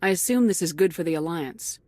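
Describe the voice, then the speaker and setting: An actress playing a composed female military commander who asks brisk, direct questions in a video game cutscene. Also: lowish voice, animated, warm tone, dry recording, close to an everyday voice.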